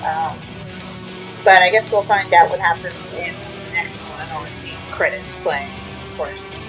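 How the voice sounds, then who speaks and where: A woman with a low voice reacts casually as a video host. Her phrasing is hesitant and comes in pieces, with music playing behind her. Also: unhurried, low-quality recording.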